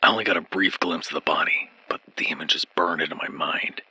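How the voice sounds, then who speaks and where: A man acts out a frightened soldier giving a grim first-person horror account. His voice is low and husky, with a very sharp, tense edge. The delivery is formal and deliberate, like a doomed final report. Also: heavily acted, low-quality recording. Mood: grim, uneasy.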